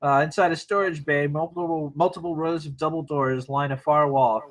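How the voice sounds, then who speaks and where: Male narrator, slightly high register, reading action lines for an informal screenplay table read. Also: steady tone, moderate pace.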